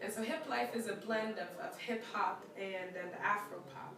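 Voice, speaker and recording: Young woman musician guest, lively and laughing, recorded far off in an echoey room with a crowd. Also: medium pitch, moderate pace. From United States, Ghanaian parents. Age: young adult. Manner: lively, laughing, acts out quoted voices. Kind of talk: live-stream interview. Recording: echoey room, far from the mic, audience around.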